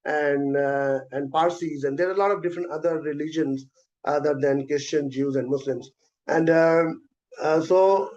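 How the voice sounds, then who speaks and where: Fast-talking man. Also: a little high, darkish tone, muffled recording. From South Asia. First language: Urdu. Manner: fast, hesitant, many filler sounds. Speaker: guest presenter. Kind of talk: answering questions in an online event. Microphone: video call.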